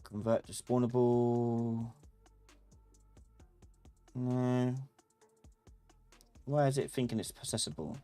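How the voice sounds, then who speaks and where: A man giving a live software tutorial as an instructor, informally thinking aloud and talking to himself as he works. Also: medium pitch, steady tone, slow pace, long pauses, soft background music. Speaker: instructor of a live online class. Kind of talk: live software tutorial, explaining while working.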